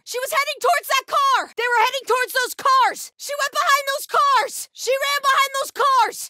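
An actress playing a fierce female cult soldier in a video game, shouting short, urgent call-outs. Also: very high-pitched, no room sound, full character voice. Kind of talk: video game combat barks.

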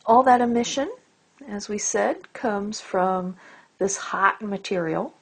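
Slow, gravelly woman lecturing. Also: mid-range voice. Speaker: astronomy lecturer. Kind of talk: explanatory lecture over slides.

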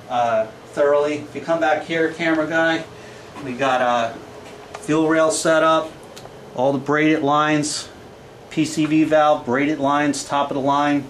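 Male presenter, forties, slightly high voice, plain-spoken product pitch, picked up off-mic with some background noise. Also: fairly bright tone. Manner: salesman-like, plain-spoken, listing specs in clipped phrases. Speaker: engine builder presenting a product video. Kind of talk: informal product walkthrough. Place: small indoor engine workshop. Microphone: camera microphone a few feet away. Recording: echoey small room, distant camera microphone, background noise.

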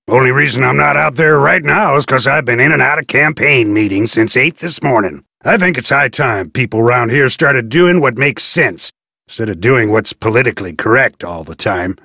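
A gravelly folksy old-salt fisherman. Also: medium pitch, animated, normal speed, sharp tone, no room sound, clean studio sound, heavily acted. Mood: gruff. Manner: folksy, drawling, chuckling. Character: gruff folksy middle-aged male fisherman. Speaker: game character. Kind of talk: video game voice acting.

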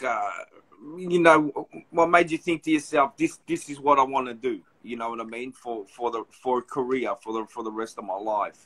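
A man's voice: medium pitch, fairly bright tone, rough recording. A man, hosting a casual interview over a phone video call, asking rambling questions with many restarts. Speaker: host. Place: small room indoors. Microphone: phone front camera.

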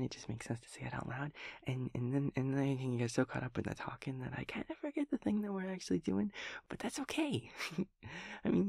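A man voicing a sweet, naive, cheerful young daemon friend in an intimate audio roleplay, with soft, breathy speech and gentle, halting rambling. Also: medium pitch, dry recording. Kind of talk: intimate audio roleplay acting, one-sided conversation.

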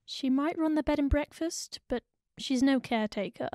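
A woman plays a sardonic townswoman in an audio drama. Her high voice has a soft, mellow tone in a dry recording, and her manner is blunt. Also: normal speed, no echo, close to an everyday voice.

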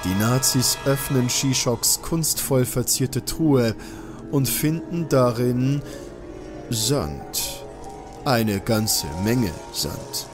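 A man's voice: mid-range voice, lively intonation, very slow, music in the background. A man delivering dramatic scripted narration for an adventure audio drama.

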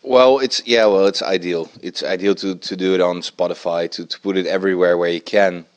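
A man's voice: deep voice, fairly even intonation, a little fast, lo-fi sound. A man, a band member, giving earnest opinions in a backstage interview. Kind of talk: casual interview answers. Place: festival backstage room, indoors.

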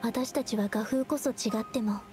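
Young woman painter played by an actress, high voice, earnest, polite, shy, anime game, loud music behind. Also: normal speed, natural voice. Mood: wistful, earnest. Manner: polite, soft-hearted, earnest. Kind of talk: Japanese anime game voice acting.

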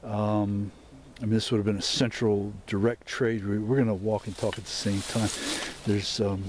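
A man's voice: on the low side, flat delivery, moderate pace, noisy background. Rambling man filming in the woods. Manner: rambling, many fillers and restarts. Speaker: explorer vlogger. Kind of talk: walk-and-talk vlog narration. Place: dense overgrown woods outdoors. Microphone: handheld camera mic while walking.

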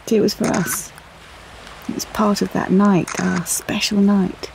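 A woman's voice: medium pitch, fairly even intonation, measured pace, vocal fry, darkish tone, slight background noise, rough recording. An actress playing a candid young British woman in an audio play, confiding memories of a romance. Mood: intimate, nervous. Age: young adult. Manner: confiding, intimate storytelling. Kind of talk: audio play monologue with dialogue.

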